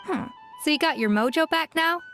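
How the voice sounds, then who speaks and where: A woman's voice: sits high, lively intonation, a little fast, music in the background. A woman acting a proud, demanding former head chef in a video game, blunt and emphatic like a tough mentor. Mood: demanding, challenging. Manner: forceful, scolding, emphatic. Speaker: voice actor.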